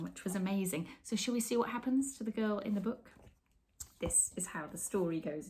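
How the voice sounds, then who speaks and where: A middle-aged woman reading a picture book aloud to children, animated and expressive, with chatty asides and hushed, dramatic phrasing. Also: fairly low pitch, a little hiss or hum. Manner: animated, dramatic pauses, drops into whispers. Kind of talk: expressive storytime read-aloud with asides to young viewers. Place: small room with bookshelves, indoors.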